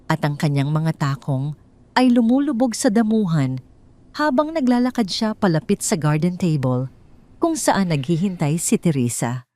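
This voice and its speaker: A woman's voice: lowish voice, very animated, normal speed, warm tone, no room sound. A woman storyteller reading a scripted dramatic narration.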